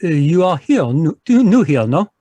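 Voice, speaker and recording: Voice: mid-range voice, big pitch swings, a little slow, warm tone, dry recording. Performer playing a fussy Belgian French-accented male detective, courtly, hesitant, dry close sound. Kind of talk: live radio drama reading. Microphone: video call.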